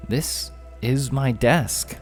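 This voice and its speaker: A man's voice: mid-range voice, very animated, normal speed, a little mellow, faint music behind, pitched above the performer's own voice. A man voicing Jeff, a bewildered, exasperated detective in a storybook reading. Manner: baffled, indignant.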